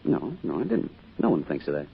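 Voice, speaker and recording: Muffled old radio recording of a small-town father with a deep, husky voice. He talks quickly in a folksy, wistful, reflective way, as in vintage radio drama. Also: wildly swooping pitch, exaggerated voice. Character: loving, wistful small-town father, grieving his son.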